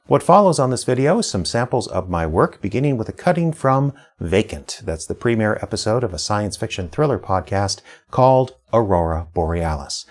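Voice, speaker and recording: Lively, low-pitched man presenting. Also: normal speed, few pauses. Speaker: audio drama writer and producer presenting a reel. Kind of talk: scripted introduction.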